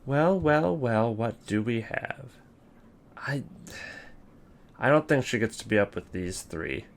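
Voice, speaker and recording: A man's voice: mid-range voice, animated, slow pace, creaky, soft background music. A man giving casual, unscripted video commentary, rambling and thinking aloud in a wry way. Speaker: video host ranking game voice lines.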